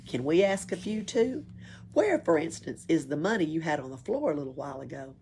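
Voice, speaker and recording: A woman voicing a gruff, scheming male tramp burglar in a storybook, bossy one moment and wheedling the next. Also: sits low, big pitch swings, fairly quick, darkish tone, a little hiss or hum. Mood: demanding.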